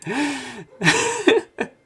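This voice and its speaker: A man reading a visual novel aloud with commentary, in a theatrical, expressive way. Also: extremely high pitch, extremely slow. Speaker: let's player narrating and commenting on a visual novel. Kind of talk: dramatic read-aloud with commentary.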